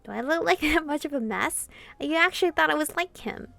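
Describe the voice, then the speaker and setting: A woman with a higher-than-average voice and plenty of vocal fry reads a visual novel aloud. She slips between expressive narration and casual, animated reactions. Game music plays behind her. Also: a little fast, no echo. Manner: animated reading, reacting informally to the story. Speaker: let's-player reading a visual novel aloud.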